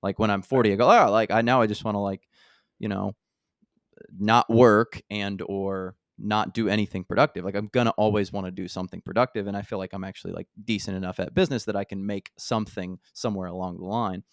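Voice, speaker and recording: A man's voice: fairly low pitch, fairly even intonation, brisk, vocal fry, dry recording, studio quality. A man in his thirties hosting a podcast, chatting casually and thinking aloud with lots of filler words. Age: 30s. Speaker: podcast host. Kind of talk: casual interview chat.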